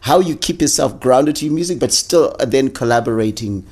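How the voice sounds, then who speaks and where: A male podcast host who works his way through questions in a hesitant style, full of fillers and restarts. His voice sits at an ordinary middle pitch. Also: lively intonation, brisk, darkish tone. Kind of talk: interview questions.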